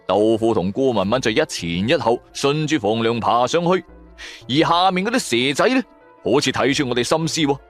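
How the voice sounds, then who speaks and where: Male Cantonese audio-drama narrator. Theatrical, suspenseful, highly varied intonation, faint music bed. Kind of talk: dramatic first-person storytelling narration of a horror adventure serial.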